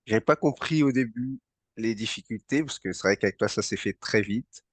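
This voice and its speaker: A male interview host with a low voice, recorded dry and close with no room sound. He talks in a halting way, stopping and restarting his questions and filling gaps with hesitations. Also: no room sound. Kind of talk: interview hosting.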